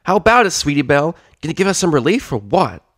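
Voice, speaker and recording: A man voicing a brash, excitable young tomboy pegasus filly with cheeky slang in a cartoon story reading. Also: a little high, fast, no room sound, studio quality, voice pushed up. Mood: exasperated.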